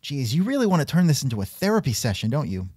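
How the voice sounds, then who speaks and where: Sardonic male comedy host, animated intonation, dry close mic. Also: medium pitch, fast, slightly rough, dry recording. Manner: sardonic, exasperated. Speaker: podcast host. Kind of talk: scripted comedic interview.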